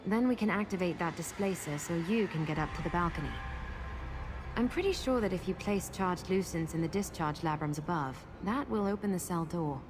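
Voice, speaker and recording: A woman's voice: lowish voice, a little fast, noisy background, close to an everyday voice. A woman voicing a practical, thoughtful adventuring companion in a video game, explaining things conversationally. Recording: loud music behind.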